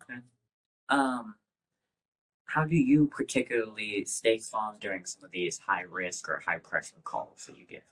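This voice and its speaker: Guy with a lowish voice, interview host asking his prepared questions. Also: measured pace, a bit bright, poor audio.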